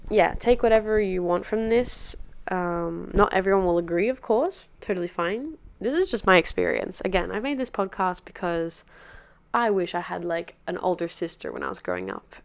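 A woman's voice: lowish voice, vocal fry. A woman in her twenties chatting candidly and encouragingly, like a podcast host giving casual solo advice at home. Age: 20s. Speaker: podcast host and dance teacher. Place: living room sofa, indoors.

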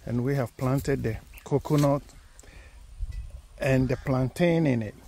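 A man's voice: medium pitch, mellow, slight background noise. A man from Ghana walking through his farm, plainly pointing out crops, recorded outdoors on a handheld phone. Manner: slow, plain, pointing things out. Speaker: farmer giving a farm tour. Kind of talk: casual walking tour narration. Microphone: phone held while walking.

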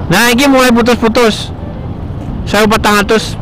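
A man's voice: very high voice, steady tone, moderate pace, a bit bright, soft background music, poor audio. A man from Indonesia, chatting and commenting casually in slangy, repetitive phrases as he rides a motorbike outdoors. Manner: slangy, mixes local speech with formal narration, repeats phrases. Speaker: motovlogger and host. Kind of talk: casual chat and commentary while riding. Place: outdoors on a motorbike on a village road.

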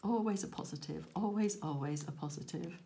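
A woman hosting a crafting vlog, croaky and tired after a late night, rambling and self-deprecating with frequent laughs. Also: fairly low pitch, a little fast, mellow, slight background noise. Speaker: crafting channel host. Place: indoors at a crafting table.

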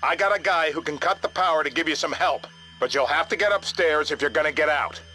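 A man's voice: on the high side, brisk, pushed and bright, faint music behind, rough recording. An actor playing a businesslike male government agent giving instructions in a video game. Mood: urgent, businesslike.

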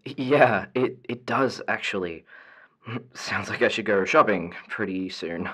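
A man's voice: fairly low pitch, slow pace, a bit bright, breathy edge, exaggerated voice. A man playing an earnest, hesitant caller to an advice show in a naturalistic audio drama, mild in manner. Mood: receptive, sheepish.

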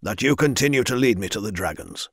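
A man's voice: fairly low pitch, very animated, fast talker, vocal fry, fairly bright tone, dry recording, clean studio sound, full character voice. A man voicing a stern, formal lord. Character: stern, powerful male lord, commanding.

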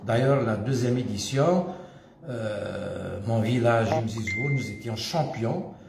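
Older Kabyle Algerian man, slow and halting. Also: mid-range voice, creaky, noisy background. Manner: halting, hesitant, pausing often. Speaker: former community association leader. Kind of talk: casual personal monologue to camera. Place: indoors at home, room with stone wall and staircase. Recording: echoey room.

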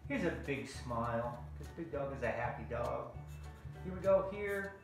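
A man's voice: medium pitch, expressive, moderate pace, creaky. A middle-aged American man giving a casual, playful drawing tutorial for kids, chatting as he draws and stretching out some words. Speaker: children's drawing teacher. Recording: very echoey room, faint music.

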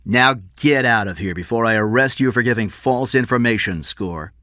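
A man voicing a tough, blunt, protective police detective in an audiobook story, forceful and scornful in manner. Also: mid-range voice, normal speed, no echo, studio quality, voice pushed up, lightly acted. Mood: commanding.

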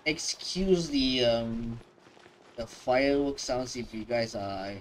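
A man casually commenting while playing a story game, relaxed and chatty. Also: mid-range voice, slow pace, slight background noise. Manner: relaxed, chatty, with small restarts. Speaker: gaming reaction host. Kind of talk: casual commentary over gameplay.

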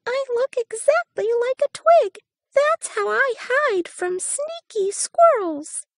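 A woman voicing a tiny, chirpy walking-stick insect with a shrill, quick voice in a storybook reading. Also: expressive, no echo, clean studio sound, exaggerated voice. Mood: squeaky.

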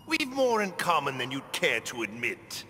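An actor playing a sinister, gleefully mad clown villain in a video game, theatrical and mocking. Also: male voice, medium pitch, wildly swooping pitch, normal speed. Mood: gleeful menace. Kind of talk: video game character dialogue. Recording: game audio with background noise.

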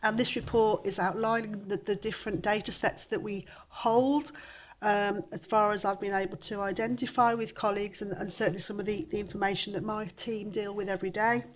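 A woman's voice: medium pitch, fairly even intonation, fairly quick, slight background noise. Woman with a cold, council officer, hesitant formal report delivery into a table mic. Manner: hesitant, many ums and restarts. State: has a cold, coughing. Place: large formal council chamber.

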